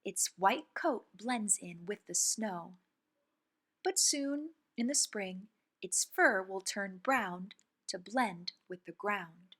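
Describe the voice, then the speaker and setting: Animated woman reading a children's picture book. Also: medium pitch, slow. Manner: animated, clearly phrased delivery for young children. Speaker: children's story reader and host. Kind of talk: expressive picture-book read-aloud.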